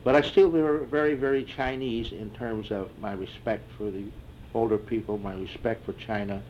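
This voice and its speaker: An older man in an oral history interview, answering reflectively and haltingly with many fillers and restarts. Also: on the low side, fairly even intonation. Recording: dull lo-fi tape with faint hiss.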